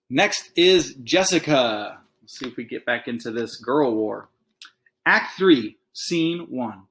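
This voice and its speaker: Expressive man reading a children's book aloud. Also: medium pitch. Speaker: read-aloud reader. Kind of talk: storybook read-aloud with casual asides.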